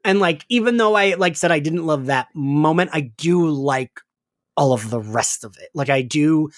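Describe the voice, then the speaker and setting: A man's voice: on the high side, expressive, normal speed, dry recording. Informal, conciliatory man with close, dry sound. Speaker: podcast host. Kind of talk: casual chat.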